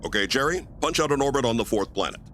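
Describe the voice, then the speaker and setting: An actor playing a stern, authoritative spaceship commander giving clipped, formal orders in an old-time radio sci-fi play. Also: male voice, mid-range voice, expressive, fast, fairly bright tone, slight background noise, natural voice. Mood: official.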